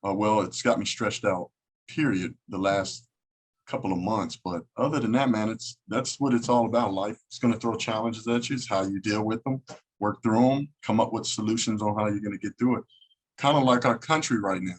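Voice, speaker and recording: Man, thirties, podcast guest on video call; husky slightly rough voice, relaxed and casual. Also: lowish voice, fairly quick, poor audio. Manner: laid-back, says man a lot, occasional swearing. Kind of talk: casual conversation.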